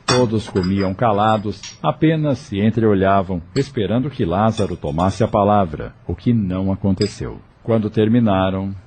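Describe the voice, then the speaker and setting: A man's voice: on the low side, moderate pace, few pauses, a little mellow, slight background noise. A man narrating a scripted audio novel as its storyteller. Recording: faint music behind.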